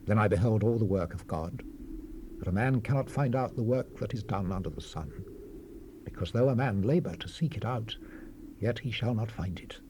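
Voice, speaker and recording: An actor playing a solemn, world-weary sage, delivering scripture in a grave, oratorical manner with dramatic swells and hushed falls. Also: male voice, lowish voice, moderate pace, natural voice. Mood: weary, reflective. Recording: noisy 1970s cassette rip, faint hiss.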